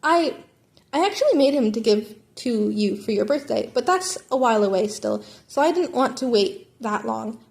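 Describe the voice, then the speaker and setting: A woman voicing an excitable, sweet, rambling schoolboy in an amateur story reading. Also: a little high, normal speed, creaky. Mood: excited, sweet. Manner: eager, rambling.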